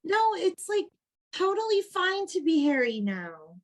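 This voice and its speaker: A woman's voice: very high voice, big pitch swings, a little slow, full character voice. A woman playing a perky, earnest young homebody in a comedic play reading, with emphatic girlish slang. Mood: defensive.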